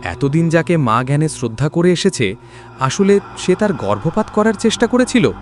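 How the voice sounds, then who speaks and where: A man's voice: a little high, sing-song, moderate pace, soft background music. A man narrating a scripted horror story in a theatrical, suspenseful and emotive manner.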